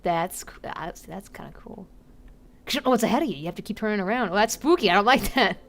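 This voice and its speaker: Woman streamer, casual gameplay chatter, excitable, giggly, medium pitch. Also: fast. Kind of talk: casual live gameplay commentary.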